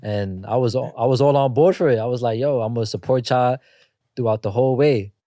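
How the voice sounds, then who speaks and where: A man's voice: medium pitch, brisk, mellow, dry recording, studio quality. A man who manages a podcast, telling its story casually and stuttering now and then. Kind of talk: casual chat.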